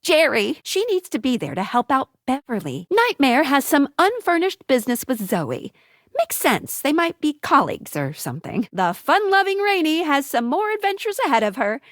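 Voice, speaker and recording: A young female guide character from a dating game, helpful and peppy. Her voice sits high and leaps around with theatrical, sing-song bounce. The recording is close and very dry, with scripted lines delivered in a bubbly way. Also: very animated, moderate pace, no room sound. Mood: chirpy, helpful. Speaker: video game voice actor. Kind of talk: scripted game hint dialogue.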